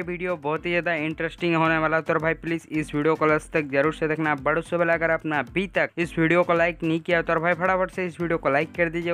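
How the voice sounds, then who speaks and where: A man's voice: a little high, fairly bright tone, clean tone. A man hosting a casual gaming tutorial voice-over in a chatty way, addressing viewers as friends. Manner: chatty, repeatedly addressing viewers as bro and guys. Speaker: gaming video host.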